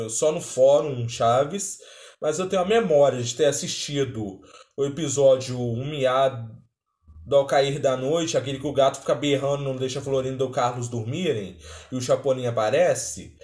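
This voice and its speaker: A man's voice: on the high side, a little mellow. Brazilian man in a roomy, echoing space. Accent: Brazilian Portuguese. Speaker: reaction video host. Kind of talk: casual spontaneous commentary.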